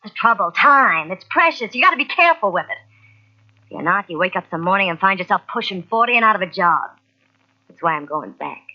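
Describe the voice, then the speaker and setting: A woman's voice: medium pitch, lively intonation, a little fast, lightly acted. An actress playing a hard-boiled, slangy carnival sideshow woman nearing forty in an old-time radio mystery. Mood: impatient, bitter. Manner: brassy, slangy, impatient. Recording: dull old radio recording from cassette.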